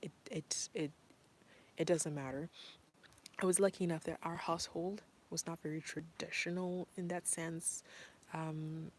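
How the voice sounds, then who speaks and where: A woman's voice: low-pitched, fairly even intonation, measured pace, darkish tone. A woman from Zimbabwe, a parent, talking casually and earnestly with many fillers and restarts. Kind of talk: casual talk to camera.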